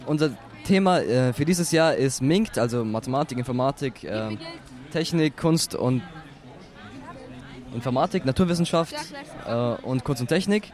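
A man's voice: mid-range voice, expressive, normal speed, a little mellow, a faint crowd. A young male student interviewing a teacher outdoors, reading prepared questions with frequent hesitations. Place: outdoors at a street festival.

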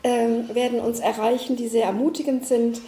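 A woman's voice: fairly high pitch, level tone, moderate pace, mellow, roomy sound, a little hiss or hum. A woman giving a greeting address for a network, speaking hesitantly with many fillers. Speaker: network representative.

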